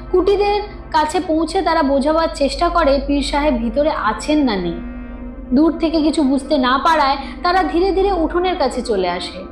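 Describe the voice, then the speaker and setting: A woman reading a scripted suspense story in a dramatic storytelling manner. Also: high-pitched. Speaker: narrator of a horror audio story. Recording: echoing studio voice with music underneath. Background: background music.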